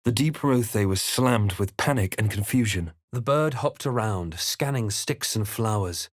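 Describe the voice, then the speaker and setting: Composed adventurer, low pitch, dry close sound, deliberate game narration, brooding. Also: male voice, animated, dry recording. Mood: measured. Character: composed, brooding male fantasy adventurer hero. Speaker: player character voice. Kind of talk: scripted game narration and character lines.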